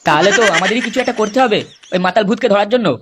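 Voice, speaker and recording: A performer voicing a crude, excitable cartoon village pal, coarse and boastful. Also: medium pitch, rapid-fire, close to an everyday voice. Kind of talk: comic cartoon dialogue. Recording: clearly noisy cartoon soundtrack.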